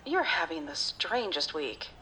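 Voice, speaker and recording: A woman acting as a caring, gently insistent best friend in naturalistic audio drama dialogue. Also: low voice, very animated, a little slow, heavily acted, rough recording. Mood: concerned, teasing.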